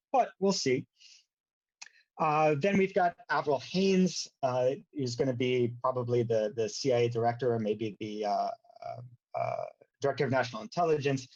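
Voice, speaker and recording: A man giving an informal academic lecture as a university lecturer, with a wry, sarcastic bent and a halting, hesitant delivery. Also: mid-range voice, a little mellow. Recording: dull lo-fi audio.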